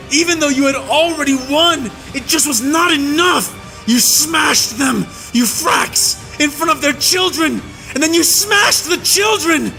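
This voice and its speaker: A man playing an enraged human soldier, tied up and hurling a breathless, shouted tirade of hatred in an audiobook. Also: high-pitched, vocal fry, voice pushed up, exaggerated voice. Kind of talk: audiobook reading.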